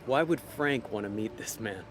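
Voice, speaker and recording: A man's voice: on the low side, lively intonation, moderate pace, noisy background, close to an everyday voice. An actor playing a laid-back, streetwise male con man in a noir audio drama, talking in a dry, easygoing, wisecracking way. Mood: wry.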